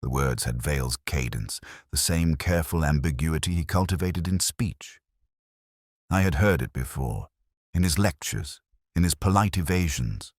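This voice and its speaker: A man reading scripted first-person detective fiction in a measured, literary, brooding manner. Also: rumbling low, expressive, dry recording, studio quality. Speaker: narrator.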